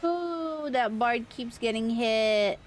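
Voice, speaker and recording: A woman's voice: fairly high pitch, slow pace. A woman livestreaming an online game with casual, playful, salty commentary, muttering to herself as she plays. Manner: playful, foul-mouthed mock threats and muttering to self.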